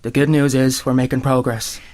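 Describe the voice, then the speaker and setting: An actor playing a weary, anxious young Irish soldier of 1916 in a radio play. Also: male voice, mid-range voice, little pitch movement, normal speed, a little mellow, no room sound, lightly acted. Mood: weary, homesick.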